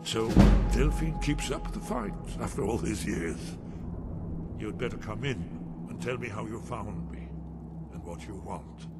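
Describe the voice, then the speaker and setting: A man's voice: on the low side, lively intonation, measured pace. An actor playing a paranoid, weary old man in hiding, agitated and dramatic, in a fantasy video game. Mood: wary, resigned. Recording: loud music behind the voice.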